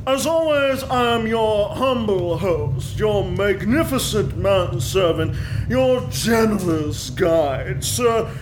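A man's voice: high voice, lively intonation, slow, noisy background. A man playing a pompous, self-important aristocratic host who introduces a story grandly. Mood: grandiose.

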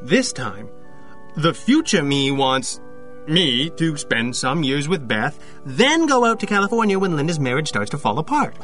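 An actor playing an awkward, earnest young photographer in an audio drama, stammering and hesitant. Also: male voice, on the high side, sing-song, unhurried, fairly bright tone, faint music behind.

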